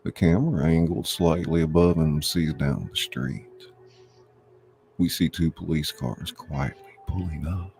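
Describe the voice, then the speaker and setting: A man reading screenplay stage directions aloud as a deliberate scripted narrator. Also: rumbling low, vocal fry, a little mellow, soft background music.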